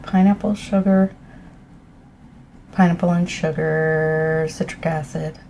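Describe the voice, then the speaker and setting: A slow, soft-spoken middle-aged woman with a mellow, slightly low voice, croaky and often clearing her throat. She rambles gently with fillers as she tastes tea at home. A faint hiss sits under the recording. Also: steady tone, low-quality recording. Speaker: ASMR tea reviewer.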